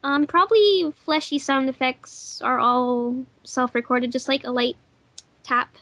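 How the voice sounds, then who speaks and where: A woman's voice: high-pitched, steady tone, a little slow, a bit bright, dry recording. A woman chatting casually on a commentary track as a voice actor and sound editor.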